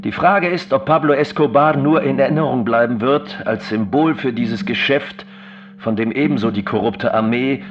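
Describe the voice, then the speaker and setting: An actor playing a solemn political admirer, as a scripted voice-over in a radio documentary drama. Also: male voice, mid-range voice, muffled recording, natural voice. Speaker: German voice-over of a Spanish speaker.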